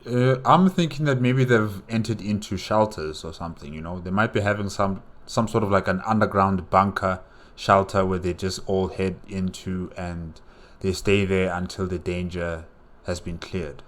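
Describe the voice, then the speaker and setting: A man's voice: low voice, fairly even intonation, normal speed. A man hosting a reaction video, giving casual commentary as he thinks aloud, hesitantly musing and asking himself questions.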